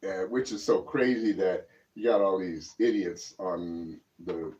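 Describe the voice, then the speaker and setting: Deep-voiced man, mellow and distant. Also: a little slow. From born in Germany. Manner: emphatic, opinionated, rambling with repeated words. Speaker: political talk show co-host. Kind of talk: casual political commentary. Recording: muffled lo-fi audio, distant microphone.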